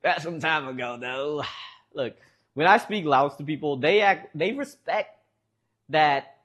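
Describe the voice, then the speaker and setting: A man's voice: fairly high pitch, lively intonation, measured pace, fairly bright tone. A man with a Midwestern American accent streaming from home, rambling casually to his chat and laughing often.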